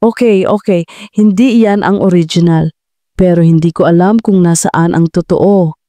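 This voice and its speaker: A woman's voice: lowish voice, steady tone, normal speed, mellow, no echo, close to an everyday voice. A woman voicing a poised, evasive ex-girlfriend in an audio story, defensive and insistent as she gives up secrets. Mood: confessing, insistent.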